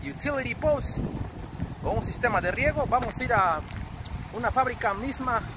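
A Mexican man with a high-pitched voice talks in a casual vlog style. He is chummy and slangy, like a trucker addressing his pals. Clear wind and engine noise sits behind his words. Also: animated, normal speed. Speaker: truck driver and vlogger. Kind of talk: casual vlog narration to camera.

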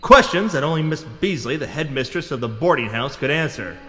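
A man's voice: fairly high pitch, lively intonation, brisk, breathy edge. A man playing a brash, earnest and hapless space hero in a campy audio drama, with a melodramatic delivery. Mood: brooding. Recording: loud music behind.

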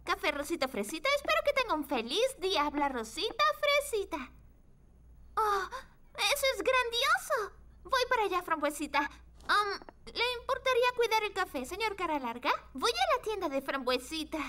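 A woman voicing a sweet, upbeat little girl in a cartoon, bubbly, expressive and polite. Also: very high-pitched, normal speed, full character voice. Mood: cheerful, polite. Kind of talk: animated cartoon dub acting. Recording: loud music behind the voice.

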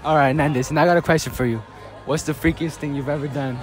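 A young man doing playful street interviews outdoors with a handheld mic, loud and teasing, laughing often. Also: a little high, steady tone, fast. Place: outdoor school courtyard with crowd. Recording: crowd noise and music behind.

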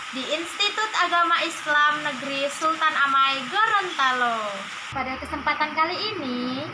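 A young woman telling a story to children, with deliberate phrasing and drawn-out words. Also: high voice, noisy background. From Indonesia. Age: young adult. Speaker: student storyteller.

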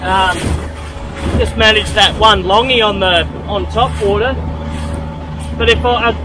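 An Australian man talking casually while he fishes from a small boat, excitable and swearing freely as he reacts. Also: high-pitched, measured pace, background noise. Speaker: fishing vlogger. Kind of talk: casual running commentary while fishing. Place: small boat out on open water.

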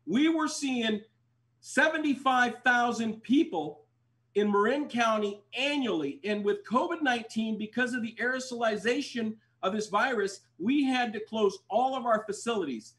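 A man's voice: very high voice, normal speed, a bit bright. An older man, a doctor whose first language is Spanish, making an urgent fundraising appeal over a video call and repeating his pleas. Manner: urgent, pleading, repeats phrases. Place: indoors, plain wall, home video call. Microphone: webcam on video call.

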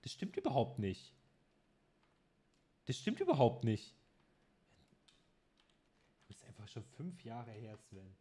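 A man's voice: mid-range voice, huge pitch swings, takes it slowly, long pauses, dark tone, slightly rough. A man in his twenties streaming a game from his room at home, chatting and teasing his friend. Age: 20s. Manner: joking, teasing banter. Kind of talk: casual gaming chat.

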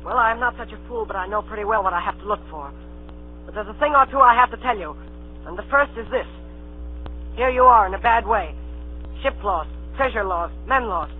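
A man's voice: high-pitched, brisk, lightly acted. An actor playing a proud, plucky boy adventurer, telling his tale earnestly in old radio drama style. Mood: defiant. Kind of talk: 1930s radio drama acting. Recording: tinny old radio broadcast, music, hum.

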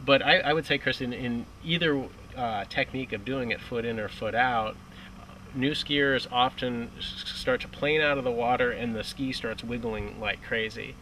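A man's voice: medium pitch, steady tone, moderate pace, pushed and bright. A man coaching on a lakeside dock, talking casually and often restarting his sentences. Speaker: water ski coach. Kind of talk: outdoor instructional chat. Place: outdoors on a dock by a lake. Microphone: distant camera mic. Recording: distant outdoor mic with light noise.

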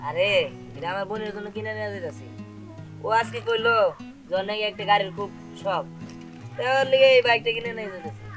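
A woman's voice: medium pitch, fast, soft background music, lightly acted. A woman playing a protective, hardworking brother, plaintive and indignant, in an amateur comic drama. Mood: casual.

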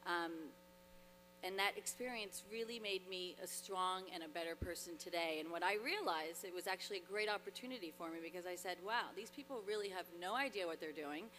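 Woman giving a bright speech in a hall. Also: on the low side, brisk. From Dominican Republic. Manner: earnest, grateful, with frequent fillers and restarts. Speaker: award honoree. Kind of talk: acceptance speech. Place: large hall, on stage at a podium. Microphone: podium microphone. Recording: long reverb in a large hall, faint hum.

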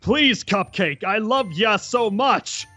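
A man's voice: high-pitched, expressive, slow, pushed and bright, faint music behind, exaggerated voice. A man playing a gloomy, sarcastic, awkward young man in a Minecraft roleplay, melodramatic and sardonic. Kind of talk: dry comic Minecraft roleplay.

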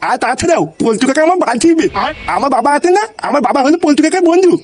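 Squeaky, cocky cartoon schoolboy. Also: male voice, animated, rapid-fire, slight background noise. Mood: cocky, bickering. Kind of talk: Bengali comedy cartoon dubbing.

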